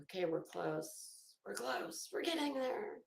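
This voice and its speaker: A woman's voice: medium pitch, big pitch swings, measured pace, gravelly, roomy sound. A woman hosting a casual livestream, chatting to viewers in a rambling, opinionated, emphatic way. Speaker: livestream host.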